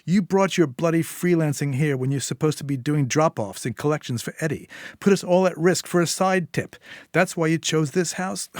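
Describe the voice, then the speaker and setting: A man's voice: fairly high pitch, no echo, studio quality, voice pushed up, natural voice. A man voicing a volatile, menacing woman gangster in a story reading, taunting and sweary, with heavy emphasis.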